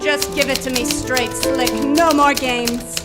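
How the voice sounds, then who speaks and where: Actress as sultry femme fatale, arch and scornful, higher voice, radio noir parody, loud music behind, distant mic. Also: female voice, fairly even intonation, a little slow, heavy reverb. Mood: feigned innocence. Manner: theatrical, arch delivery. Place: on stage in a theater.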